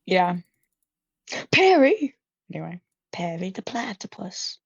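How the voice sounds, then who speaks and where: Unhurried young woman, dry muffled recording. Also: mid-range voice, very animated, vocal fry, breathy edge, no echo. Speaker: player in a tabletop roleplaying show. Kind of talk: casual tabletop game chat with in-character lines.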